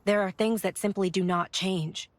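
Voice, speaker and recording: Composed female rune hunter by an actress, mid-pitched. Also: fairly even intonation, moderate pace, dry recording, close to an everyday voice. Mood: resolute. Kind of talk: video game cutscene acting. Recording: very loud music behind.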